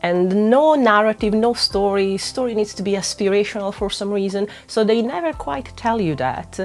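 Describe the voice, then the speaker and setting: A woman's voice: medium pitch, lively intonation, moderate pace, darkish tone, soft background music. A young adult woman from northwest Italy who speaks English with an Italian accent, candid and self-deprecating in a casual vlog to camera, often restarting her words. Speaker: witchcraft YouTuber.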